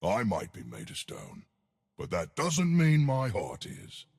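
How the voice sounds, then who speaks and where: Casting: simple, boastful game giant of stone; gravelly, slow, wildly swooping delivery. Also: male voice, wildly swooping pitch, darkish tone, full character voice. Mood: cheerful. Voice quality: gravelly, husky. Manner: slow, hammy, booming delivery. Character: huge jolly stone giant, boastful, simple-minded, eager to fight. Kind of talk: video game character voice lines.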